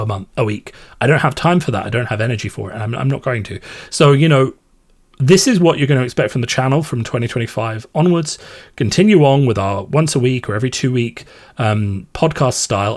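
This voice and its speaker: A man from Ireland, talking casually and unscripted to his subscribers as a podcast host, in a rambling, self-deprecating way. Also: medium pitch. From Ireland, born in London and raised in Limerick from age eight. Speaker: podcast host and content creator.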